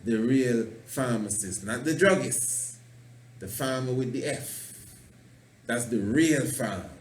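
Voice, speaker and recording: A man's voice: mid-range voice, slight background noise. A man, a priest hosting a radio talk show, preaching in a passionate, rambling way and playing with words. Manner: passionate, rambling, fond of wordplay. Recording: roomy echo.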